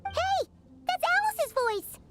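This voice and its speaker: A woman voicing a tiny, cheerful, impatient childlike fairy companion in a video game, squeaky and full of exclamation. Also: sing-song, takes it slowly, full character voice. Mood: excited. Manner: refers to self in third person, exclaiming. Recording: music behind the voice.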